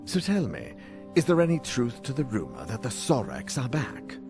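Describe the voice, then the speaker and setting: A man voicing a formal noble lord of a frontier town in a video game, with a measured, probing manner. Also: mid-range voice, huge pitch swings, over music. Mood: grave, courteous. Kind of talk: video game cutscene acting.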